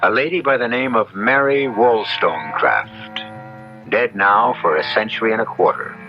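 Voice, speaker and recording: A man hosting a 1970s radio mystery drama, introducing the story in a measured, dramatic storyteller's manner. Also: fairly low pitch. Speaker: radio drama host and announcer. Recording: muffled 1970s radio broadcast, faint music.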